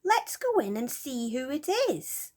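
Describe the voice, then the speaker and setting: A woman's voice: high voice, very animated, measured pace, a little mellow, voice pushed up, full character voice. A woman voicing an earnest, astonished child in a children's storybook, exclaiming with emphasis. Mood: curious, concerned. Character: astonished, earnest children exploring an enchanted wood.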